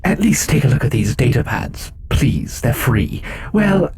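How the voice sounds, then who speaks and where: A man's voice: mid-range voice, sing-song, unhurried, darkish tone, slight background noise. A man playing a meek, awkward robot counselor who speaks hesitantly and pleadingly. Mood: pleading, sheepish. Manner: hesitant, self-defeating.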